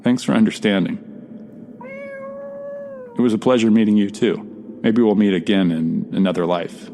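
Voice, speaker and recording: A man's voice: on the low side, steady tone, normal speed, dark tone, a little hiss or hum, lightly acted. A man playing a polite, awed traveler, speaking conversationally and a little hesitantly in an audio drama. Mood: bemused, friendly. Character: polite, awed male traveler between mysterious doors.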